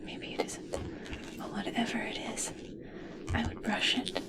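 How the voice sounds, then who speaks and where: Woman playing infatuated female scientist, ASMR roleplay. Breathy whisper, unhurried, halting, tenderly fixated. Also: natural voice. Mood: tender fascination. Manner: slow, gentle, halting, fixated. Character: obsessed, adoring female scientist studying a captive merperson.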